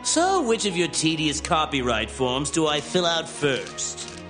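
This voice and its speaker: A preening cartoon villain clown, theatrical and pompous, voice swooping wildly up and down with music playing underneath. he sounds gleefully scheming and higher than most men. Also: sing-song, measured pace. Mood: gloating. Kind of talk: animated TV cartoon acting. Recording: clip with music behind.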